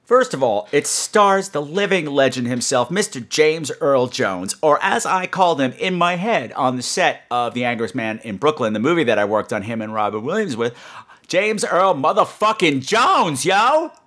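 A man's voice: on the high side, lively intonation, moderate pace, fairly bright tone. A man hosting a podcast in a casual, comedic storytelling monologue, rambling with sarcastic asides and some swearing. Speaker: podcast host.

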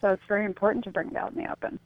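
A woman's voice: medium pitch, level tone, racing. A middle-aged woman speaking as an interview guest, giving earnest, reflective explanations peppered with "you know". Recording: thin phone-line sound on radio.

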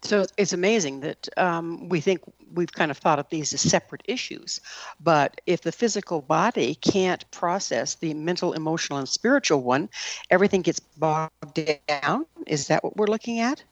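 A woman's voice: on the low side, expressive, moderate pace, no echo, dull audio. Woman, middle-aged, conversational interviewer, reflective, questioning, dry recording without room sound. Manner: reflective, rephrases points as questions.

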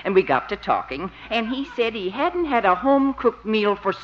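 Sweet elderly mother, 1950s radio comedy: gullible, fluttery, wildly swooping pitch, dull vintage recording. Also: sing-song, moderate pace. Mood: sweet, pleased.

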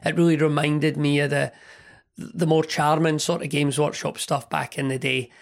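A man hosting a hobby podcast, chatting in a rambling, enthusiastic way with a hoarse, partly lost voice from a cold. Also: fairly high pitch, flat delivery, moderate pace. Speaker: podcast host. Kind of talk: casual hobby podcast monologue.

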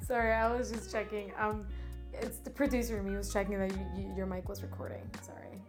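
A woman talking as a guest on a casual podcast, animated and quick, acting out what people said to her. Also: medium pitch, music in the background. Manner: animated, talks fast, acts out quotes. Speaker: guest actress. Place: Miami.

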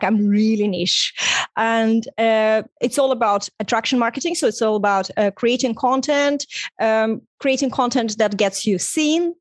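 A woman's voice: a little high, no echo. A middle-aged woman from Estonia, a native Russian speaker, talking conversationally as an interview guest. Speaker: guest, online visibility strategist and coach.

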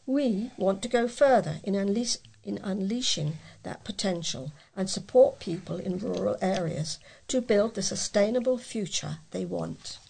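A woman reading local news aloud as a volunteer newsreader, with a husky, creaky voice. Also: medium pitch, expressive, a little slow, hardly any pauses, darkish tone, rough recording.

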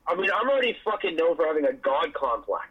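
A man's voice: fairly high pitch, sing-song, fast, phone-quality sound, crowd noise. A man chatting casually as podcast co-host, rambling with frequent restarts and swearing.

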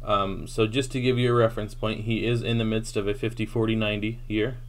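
A man's voice: lowish voice, flat delivery, a little fast. A man co-hosting a casual basketball podcast, steadily reeling off stats with frequent ums. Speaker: podcast co-host. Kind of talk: casual sports talk.

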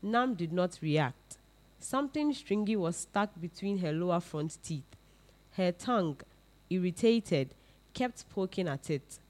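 A woman's voice: fairly low pitch, animated, measured pace, a little mellow, low-quality recording. A woman reading literary fiction aloud as a scripted narration. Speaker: reader.

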